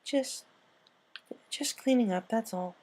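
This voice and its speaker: Woman playing an anxious young pony girl, very high pitch, sheepish, over-assuring, cartoon story. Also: takes it slowly, darkish tone.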